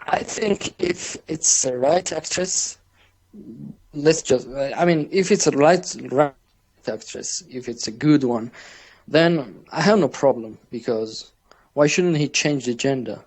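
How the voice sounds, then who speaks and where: A man with a Georgian accent speaks slowly and haltingly, hunting for English words and stopping to restart his phrases. His voice sits at an ordinary middle pitch for a man. He talks in a relaxed way, as a guest on a casual podcast. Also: husky, rough recording. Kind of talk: casual interview chat.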